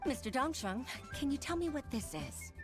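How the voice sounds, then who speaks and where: A woman's voice: high voice, very animated, moderate pace. A woman voicing an eager young female clerk in a video game, speaking over game music.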